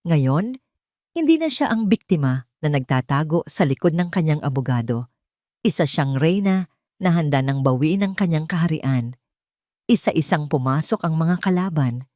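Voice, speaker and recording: A woman narrates a scripted drama. Her voice sits low, and the recording is close and dry, with no room sound. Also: expressive, normal speed, dark tone, dry recording.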